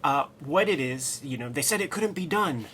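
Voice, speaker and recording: A man's voice: a little high, animated, normal speed, a bit bright, slight background noise. A man co-hosting a casual comics discussion, enthusiastic and slangy, leaning hard on his points. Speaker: co-host.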